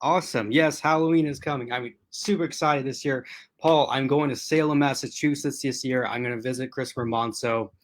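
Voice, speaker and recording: A man hosting a casual livestream, chatting with commenters in a rambling, excited way, with a strained voice he says he is losing. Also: medium pitch, brisk. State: losing his voice, clearing throat. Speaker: host.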